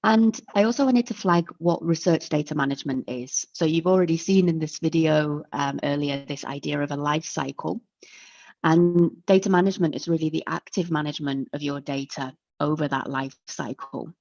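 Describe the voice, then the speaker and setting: A woman with a low, deep voice gives an online lecture and explains each point step by step in a friendly way. Her sound is dull and lo-fi, like video call audio. It is close and dry, with no room sound. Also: moderate pace, gravelly, darkish tone, dry recording. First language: English. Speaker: webinar presenter.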